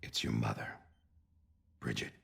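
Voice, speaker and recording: An actor playing a grave, world-weary older director in a cinematic game, commanding and gravelly. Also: male voice, a little slow, a bit bright, close to an everyday voice. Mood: grave, wry.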